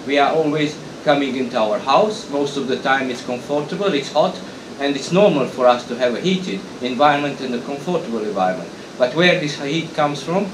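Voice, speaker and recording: A middle-aged man gives a conference presentation, speaking haltingly with frequent restarts and fillers. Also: medium pitch. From Romania. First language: Hungarian. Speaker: conference speaker, association president. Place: conference hall. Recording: echoey hall with hum.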